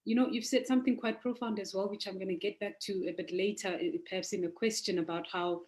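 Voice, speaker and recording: A woman's voice: medium pitch, steady tone, quick pace, darkish tone. A woman hosting a panel discussion in an informal, polite and somewhat wordy way, feeling out her phrases as she goes. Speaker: host and moderator.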